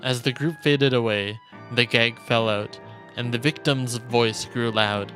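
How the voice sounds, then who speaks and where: Measured male storyteller over game music. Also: mid-range voice, steady tone, sharp tone. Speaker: streamer reading a game aloud. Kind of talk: dramatic narration of visual novel text.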